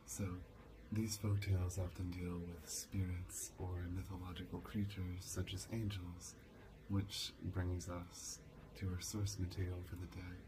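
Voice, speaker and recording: A young man playing a calm college professor in a soft-spoken ASMR lecture roleplay, measured and didactic. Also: deep voice, crowd noise. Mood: calm, instructive. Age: young adult. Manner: measured, didactic, with rhetorical questions. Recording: clearly noisy, hissy home recording.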